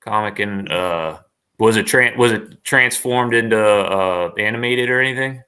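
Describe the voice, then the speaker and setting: A man's voice: on the low side, a little slow, bright, forceful tone, clean tone. A man chatting casually as a guest on a livestream. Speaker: guest, voice actor.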